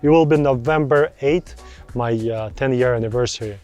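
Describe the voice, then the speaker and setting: A man in his thirties with a Russian accent talks through viewer questions about buying property. His pitch sits in an ordinary middle range, and his speech is loose and friendly, full of repeated words and non-native phrasing. Faint music plays softly underneath. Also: moderate pace. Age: 30s. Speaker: real estate broker. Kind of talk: casual answers to viewer questions.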